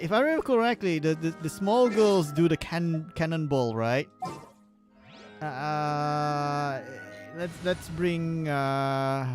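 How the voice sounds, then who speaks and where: A man giving casual livestream game commentary in a halting, joking way, often repeating his words. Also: a little high. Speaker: live streamer. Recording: game music behind the voice.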